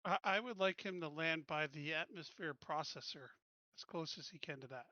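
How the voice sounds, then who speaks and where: A male player earnestly pressing his point in a tabletop game. His voice is a bit high with a bright, pointed edge. It comes through dry and slightly muffled over voice chat. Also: moderate pace, no room sound. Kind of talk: casual tabletop game talk.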